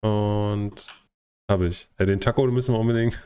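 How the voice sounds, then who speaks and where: A man's voice: lowish voice, steady tone, moderate pace, dark tone, smooth. A young man streaming a drawing game, chatting casually from his desk on a headset, laughing often and exclaiming a lot. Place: room at desk.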